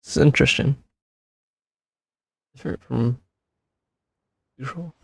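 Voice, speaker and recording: A man's voice: mid-range voice, measured pace, creaky, breathy edge, no echo. A man streaming a game and giving casual, offhand reaction commentary.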